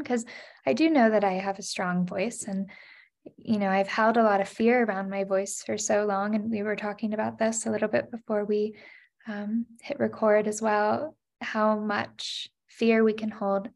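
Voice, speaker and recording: A woman's voice: mid-range voice, darkish tone. A woman in her thirties, a podcast guest, sharing a personal story in an earnest, reflective way, with many "you know" and "like" fillers.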